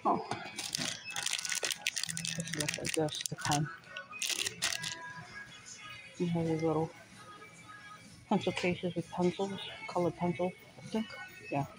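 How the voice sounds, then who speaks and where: A woman's voice: on the low side, steady tone, a little slow, pauses often, poor audio. A woman giving a casual, off-the-cuff shopping vlog narration in short, fragmentary remarks as she browses a store on her phone. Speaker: toy shopping vlogger. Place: large retail store aisle, indoors. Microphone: handheld phone, held by the speaker behind the camera. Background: store music.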